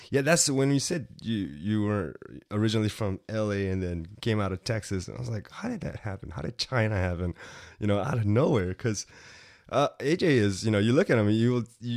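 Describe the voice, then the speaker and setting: A man's voice: lowish voice, expressive, a little fast, a little mellow, no echo, clean studio sound. A young man hosting a podcast interview, upbeat and chatty, with frequent fillers. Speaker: podcast host. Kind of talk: casual interview chat.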